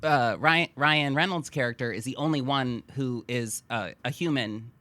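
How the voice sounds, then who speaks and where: A man's voice: mid-range voice, moderate pace, pushed and bright, no echo. A man co-hosting a podcast, chatting casually and enthusiastically about movies. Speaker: podcast co-host.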